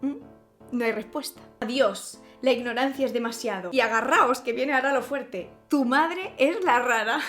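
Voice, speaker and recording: A woman from Spain with a Spanish accent, talking to camera in a casual vlog, expressive and ironic, laughing often. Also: fairly high pitch, moderate pace, soft background music. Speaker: vlogger.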